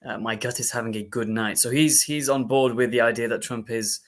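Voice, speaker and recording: A man's voice: medium pitch, steady tone, a little fast. A man co-hosting a live stream, giving casual updates and repeating words. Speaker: co-host.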